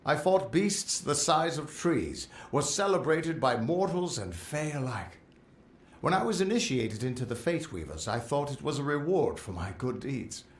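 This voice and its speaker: Gravelly, animated, rueful middle-aged drinker. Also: male voice, on the high side, normal speed. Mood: nostalgic. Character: rueful middle-aged hard-drinking fortune-teller, former warrior. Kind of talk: fantasy video game dialogue. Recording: roomy echo.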